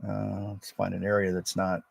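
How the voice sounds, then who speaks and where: American guy, kinda low gravelly voice, rambling casually on his ham radio livestream. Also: moderate pace, no echo. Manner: rambling, relaxed, unscripted. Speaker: amateur radio operator and livestream host. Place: outdoors at a state forest park.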